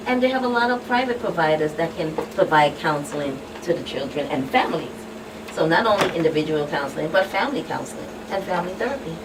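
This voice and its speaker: Female expert guest, Caribbean accent, notably low register, emphatic and plain-spoken; off-mic with noisy background. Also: expressive, fast talker, far from the mic. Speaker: guest expert, child protection official. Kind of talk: live radio talk show discussion.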